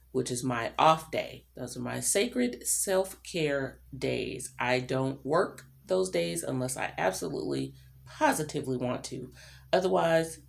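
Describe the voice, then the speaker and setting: Low-voiced woman chatting casually, slightly gravelly. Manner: informal, chuckles often, rambling asides. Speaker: vlogger and self-employed writer. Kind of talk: casual chatty vlog monologue.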